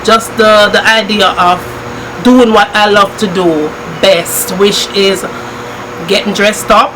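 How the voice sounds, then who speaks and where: A middle-aged Jamaican woman chatting easily on her home vlog, patois slipping in and a laugh never far off. Her voice sits at an ordinary pitch for a woman. Steady background noise hums under the talk. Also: takes it slowly. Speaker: cooking channel host. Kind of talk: casual chat.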